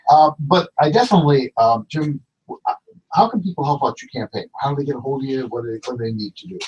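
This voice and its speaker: A man's voice: mid-range voice, quick pace, darkish tone, dull audio, a little hiss or hum. A man hosting a talk radio show, chatty and rambling, losing his voice. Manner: rambling, filler-heavy.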